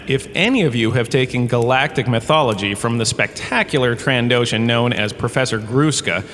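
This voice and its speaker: An actor playing a pompous, washed-up star turned flippant professor, lecturing in a theatrical, breezily dismissive way for a comic audio drama. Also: male voice, medium pitch, a bit bright, natural voice. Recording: long cave reverb, background ambience noise.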